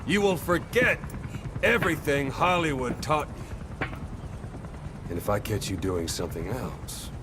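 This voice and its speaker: An actor playing a commanding, gruff soldier and drill instructor in a video game cutscene, lecturing in a stern, theatrical way. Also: male voice, medium pitch, animated, unhurried, long pauses, noisy background, natural voice. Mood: stern, rallying.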